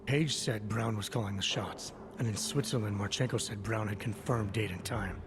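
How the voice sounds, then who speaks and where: A man's voice: on the low side, moderate pace, background noise, close to an everyday voice. An actor playing a grim male agent in a video game, talking in a terse, dry, understated way. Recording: music behind.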